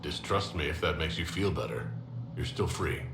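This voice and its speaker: An actor playing a steady, plain-spoken heroic leader in a video game cutscene. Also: male voice, extremely low pitch, normal speed, gravelly, reverberant, background noise, heavily acted. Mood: dry, cool. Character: resolute male fantasy hero, plain-spoken leader.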